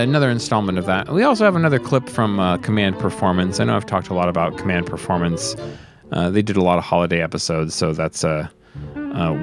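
A man hosting a radio show in casual, unscripted chat, rambling and halting as he talks with enthusiasm. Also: lowish voice.

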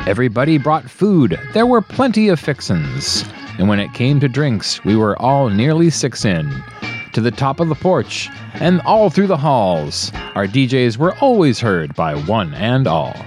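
Man, animated pitch, rhymed verse reading, theatrical, playful, faint guitar backing. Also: a little slow. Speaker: DJ on a radio show. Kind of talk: rhymed holiday poem reading. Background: plucked guitar music.

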